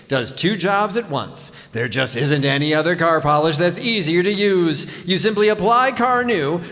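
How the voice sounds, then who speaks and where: A man playing an upbeat, emphatic 1940s radio announcer pitching products like a salesman, in an echoey hall. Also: a bit bright. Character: upbeat male 1940s radio announcer selling car polish. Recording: echoey hall, stage performance.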